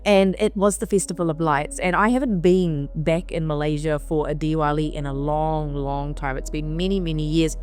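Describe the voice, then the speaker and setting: A lower-voiced woman talks through a spiritual mantra in an easy, wandering way, circling back over phrases to stress them. Her voice is a little nasal and sniffly from allergies. Faint music plays underneath. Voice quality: slightly nasal from sinuses. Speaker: spiritual therapist hosting a weekly mantra episode. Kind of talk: casual spiritual talk. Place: on the road while traveling.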